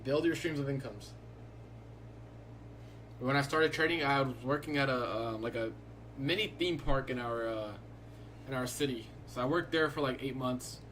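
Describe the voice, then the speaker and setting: A man's voice: mid-range voice, moderate pace, pauses often, fairly bright tone, slight background noise, rough recording. A young man hosting a live stream, chatting casually in a laid-back, rambling way and filling his talk with "like". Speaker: livestreaming day trader.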